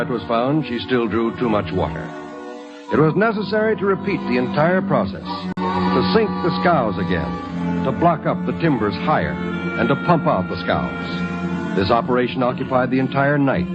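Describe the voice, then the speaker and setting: A man's voice: mid-range voice, lo-fi sound, close to an everyday voice. A man delivering scripted narration for an old-time radio historical drama.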